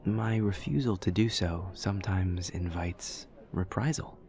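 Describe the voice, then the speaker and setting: A very deep-voiced, awkward demon student played by a man speaks with a creaky rasp at the bottom of his range. He is formal and literal, choosing exact words in a soft, measured audio-roleplay delivery, earnest and utterly polite. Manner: stiff, precise, literal, measured formal diction. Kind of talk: audio roleplay, scripted monologue to a listener.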